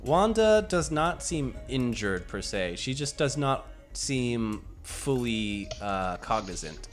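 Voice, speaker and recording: A man's voice: medium pitch, big pitch swings, slow pace, soft background music. A man narrating as game master in a casual, rambling way with lots of fillers and laughs.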